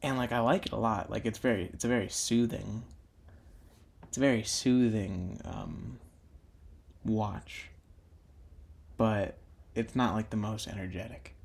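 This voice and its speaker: A man's voice: lowish voice, steady tone, unhurried, gravelly, pauses often, breathy edge. A young man talking casually while drawing for his own video channel, meandering with many fillers and restarts. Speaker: drawing YouTuber.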